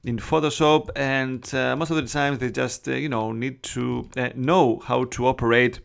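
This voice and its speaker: A man with a Greek accent talks through a software tutorial in slightly broken English. His mid-pitched voice has a gravelly edge. He speaks chattily, with frequent "uh" and "you know" fillers, and keyboard typing can be heard behind him. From Greece. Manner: chatty, many "uh" and "you know" fillers, non-native phrasing. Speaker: Photoshop tutorial host. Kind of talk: casual screen-recorded tutorial.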